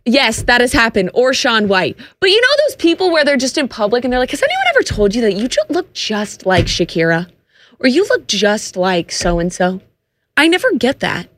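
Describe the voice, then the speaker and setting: A woman's voice: fairly high pitch, sing-song, moderate pace, fairly bright tone. A woman co-hosting a casual podcast, asking bubbly, curious questions. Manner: bubbly, inquisitive. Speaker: podcast co-host. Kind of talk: casual chat.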